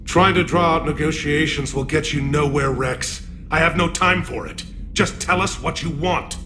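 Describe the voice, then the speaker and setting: A man's voice: fairly high pitch, moderate pace, close to an everyday voice. A man playing a stern, impatient alien statesman in a video game, speaking with clipped, pragmatic authority. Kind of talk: cinematic video game acting. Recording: game music behind.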